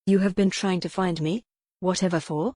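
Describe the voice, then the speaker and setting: Female narrator as poised, spirited Regency young lady, lowish pitch, dry recording, romance audiobook. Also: steady tone, moderate pace, warm tone, dry recording, natural voice. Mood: surprised.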